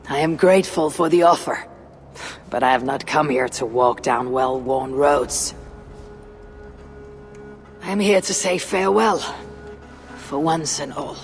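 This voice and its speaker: A woman voicing a stoic, weary Viking warrior in a video game, with a low husky voice and a measured, wry delivery. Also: faint music behind. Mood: calm, resolute. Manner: measured, solemn, dryly wry. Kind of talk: cinematic video game acting.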